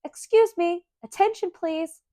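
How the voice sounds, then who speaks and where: A woman's voice: extremely high pitch, unhurried, warm tone, no room sound, studio quality, voice pushed up. A woman voicing an earnest, bossy little girl in a storybook, prim, polite and officious.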